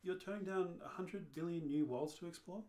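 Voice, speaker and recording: An amateur reader playing a matter-of-fact, worldly alien hitchhiker with a dry, deadpan manner in a radio play. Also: medium pitch, fairly quick, natural voice. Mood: dry, unfazed.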